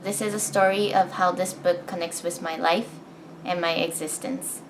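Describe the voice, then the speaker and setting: Soft-spoken young woman narrating. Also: lowish voice, steady tone, normal speed, noisy background. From Japan. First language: Japanese. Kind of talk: personal documentary narration. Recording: narration over clear music.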